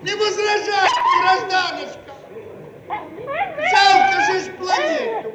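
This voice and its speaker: An actor playing a plain-spoken, bantering comrade in a theatrical satirical radio comedy. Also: male voice, very high voice, very animated, a little slow, highs cut off, heavily acted. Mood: teasing. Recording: old radio archive, echoey, distant, noisy.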